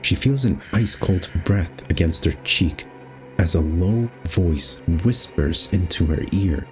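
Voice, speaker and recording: A man narrating a visual novel aloud as host, in an expressive, measured storytelling style. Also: low voice, soft background music, rough recording.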